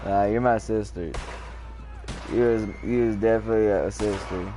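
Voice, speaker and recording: A man chatting casually while playing an online game, rambling in a calm, lecturing way, repeating words and swearing freely. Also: lowish voice, normal speed, soft background music. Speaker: gamer chatting in party.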